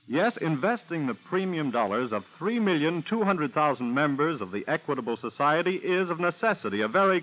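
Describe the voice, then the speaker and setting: An American man reading a scripted sponsor message as a formal, emphatic 1940s radio announcer. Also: mid-range voice. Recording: muffled old 1940s radio recording.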